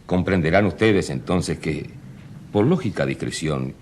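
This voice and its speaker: A man playing a discreet, dignified archbishop in a radio drama interview, speaking in a measured, urbane manner. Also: sits low, lively intonation, a little mellow, slight background noise.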